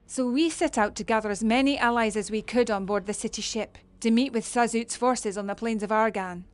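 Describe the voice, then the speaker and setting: A woman's voice: a little high, fairly quick, faint music behind, natural voice. A woman playing an earnest, dutiful warrior in a fantasy video game. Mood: grave briefing.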